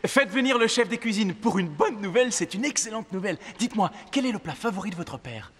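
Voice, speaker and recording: A man's voice: high-pitched, expressive, quick pace, fairly bright tone. An actor playing an earnest, noble young king in a fairy-tale cartoon, speaking in a courtly, emotive way. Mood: warm, courtly.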